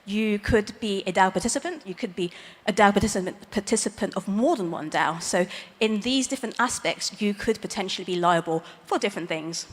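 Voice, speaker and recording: A woman's voice: mid-range voice, brisk. Woman lawyer speaking in a reverberant hall. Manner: plain explaining with light self-aware humor. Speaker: lawyer giving a conference talk. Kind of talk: explanatory conference presentation. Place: large conference hall stage. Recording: long reverb of a big hall.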